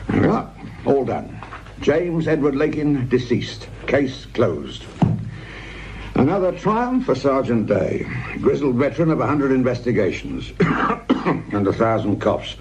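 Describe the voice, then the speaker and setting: Veteran British sergeant, male, near retirement. Sardonic, fatherly, very lively intonation; muffled, noisy radio-drama recording. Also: mid-range voice, slow pace. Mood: sardonic, dismissive. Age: older, near retirement. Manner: sardonic, world-weary, paternal to his junior. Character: weary, wry veteran police sergeant, near retirement, cynical. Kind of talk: naturalistic radio drama acting.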